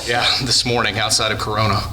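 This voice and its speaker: A man's voice: lowish voice, little pitch movement, quick pace, lightly acted. A man playing an urgent, decisive physicist in a radio drama, earnest and clipped. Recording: faint noise behind the voice.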